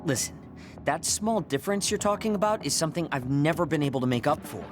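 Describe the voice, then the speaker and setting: Anime game role: male actor as proud, insecure young soldier, brusque and intense, widely swinging pitch, music behind. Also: expressive, fast talker, close to an everyday voice. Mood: guarded, demanding. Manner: brusque, intense, self-critical. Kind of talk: English anime game dub acting.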